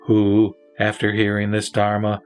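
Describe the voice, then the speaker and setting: A man's voice: fairly low pitch, monotone, a little slow. Solemn male scripture reciter over music. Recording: background music under the voice.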